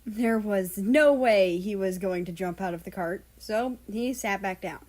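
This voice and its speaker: A woman's voice: medium pitch, measured pace, darkish tone. A woman narrating a fan-written story aloud as its reader.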